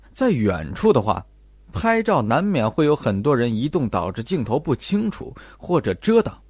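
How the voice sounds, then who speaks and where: Man voicing a calm, probing detective, dry close sound. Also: medium pitch, dry recording. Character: calm, probing detective laying out his deductions. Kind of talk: audiobook character acting.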